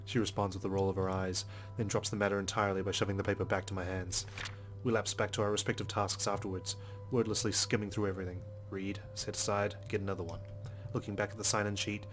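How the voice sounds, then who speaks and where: Fast-talking man with a low, even voice. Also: soft background music, poor audio. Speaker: let's player. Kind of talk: reading a visual novel aloud with casual commentary.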